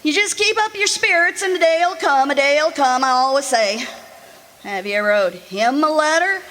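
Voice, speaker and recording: A woman's voice: high-pitched, lively intonation, moderate pace, fairly bright tone. A woman acting in a radio drama as a cheerful, teasing older country aunt who talks in homespun rustic dialect. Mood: reassuring. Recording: noisy live amateur stage recording.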